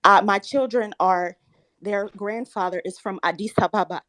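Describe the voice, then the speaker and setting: Earnest soft-spoken woman in her thirties. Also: medium pitch, fairly even intonation, moderate pace, poor audio. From Southern United States. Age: 30s. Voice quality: slightly shaky voice. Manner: soft-spoken, earnest, grows firm and insistent when challenged. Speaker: caller. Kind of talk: live audio chat room.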